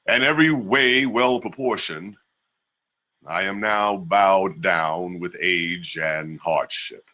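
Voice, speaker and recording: Very deep, forceful man reading aloud. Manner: measured, deliberate delivery with slowed emphases. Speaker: reader. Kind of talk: dramatic reading of an 18th-century memoir.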